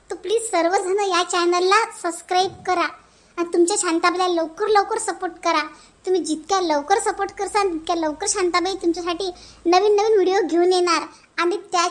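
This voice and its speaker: Brief: very high, chirpy cartoon village auntie from Vidarbha, voiced by a man, folksy, tags "हं?" onto lines, never gives up. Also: a bit bright. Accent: Varhadi Marathi. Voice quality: folksy, chatty, adds "हं?" tag questions. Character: cheerful middle-aged village woman who never gives up. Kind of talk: cartoon voice acting.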